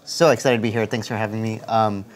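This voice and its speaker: A man's voice: lowish voice, fairly even intonation, quick pace. Upbeat male engineering lead on a panel. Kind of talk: conference panel talk.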